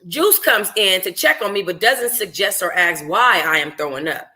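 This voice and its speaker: A woman reading a first-person novel aloud informally as a book club host, in an expressive, conversational, slangy way. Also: lowish voice, normal speed.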